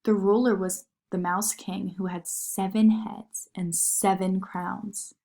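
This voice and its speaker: A woman narrating a children's story in an expressive read-aloud style. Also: medium pitch, takes it slowly, vocal fry.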